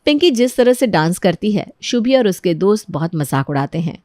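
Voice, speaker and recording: Female storyteller, scripted narration, mid-pitched voice, very dry recording. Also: lively intonation, brisk, darkish tone, no echo.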